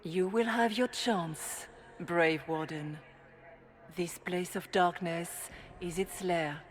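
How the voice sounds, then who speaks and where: A woman playing a serene older holy guide spirit in a video game, speaking in a measured, formal, solemn way. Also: lowish voice. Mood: solemn, grave. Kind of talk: dramatic video game voice acting.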